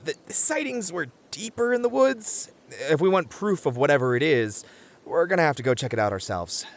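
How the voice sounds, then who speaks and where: A man's voice: on the high side, expressive, normal speed. A man playing a cautious, sensible young camper in an ASMR roleplay drama, friendly and reasoning, wry and easily exasperated. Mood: practical. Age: young adult.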